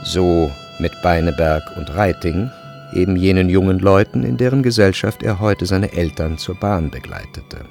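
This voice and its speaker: A man narrating a literary radio drama in a measured, precise storytelling manner. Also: sits low, gravelly, darkish tone. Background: faint music.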